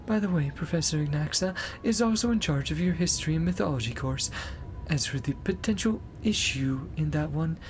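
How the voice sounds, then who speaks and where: A man's voice: a little high, animated, brisk, a little hiss or hum, pitched above the performer's own voice, natural voice. A man voicing a cheerful, teasing young female teaching assistant from a game, in a light and breezy way.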